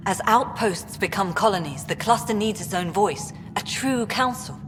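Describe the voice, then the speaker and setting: A woman's voice: medium pitch, moderate pace, over music, natural voice. An actress playing a firm, blunt female leader in a video game, formal and assertive. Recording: noisy, echoing hall, ambient sound.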